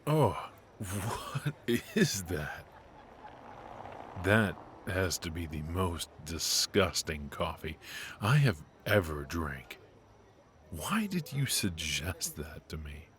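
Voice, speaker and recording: A man playing a suave, teasing, flirtatious mafia boss in an intimate ASMR roleplay, murmuring asides with hums and pauses. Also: low-pitched, big pitch swings, fairly bright tone, exaggerated voice. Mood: mock disgust. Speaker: voice actor in an audio roleplay. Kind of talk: ASMR roleplay acting, intimate one-sided conversation.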